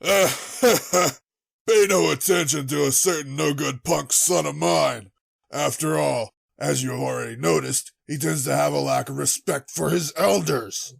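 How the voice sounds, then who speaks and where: A man playing a blustery, easygoing middle-aged father with a gravelly, husky voice in an amateur anime audio drama. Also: fairly high pitch, unhurried, exaggerated voice. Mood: dismissive. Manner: boastful, dismissive of worries.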